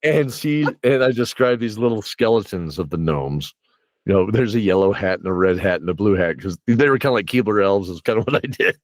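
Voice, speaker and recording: A man's voice: lowish voice, lively intonation, brisk, no room sound. A man chatting casually as a game master, rambling through campaign stories and acting out what his players said. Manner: rambling, acts out players' reactions in quotes. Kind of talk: casual animated storytelling chat.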